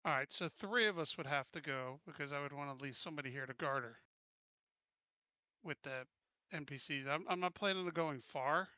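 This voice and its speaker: A man's voice: on the high side, moderate pace, sharp tone, no echo, lo-fi sound. A man playing a tabletop roleplaying game, chatting casually and thinking aloud as he plans.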